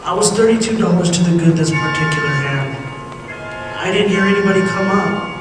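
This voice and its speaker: A man's voice: sits high, fairly quick, over music, natural voice. Man playing an anxious condemned prisoner, echoing hall. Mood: wry, brooding. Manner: folksy, conversational, addressing the listener. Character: anxious condemned prisoner protesting his innocence. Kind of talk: old-time radio drama acting, first-person storytelling. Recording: distant, very echoey hall with hiss.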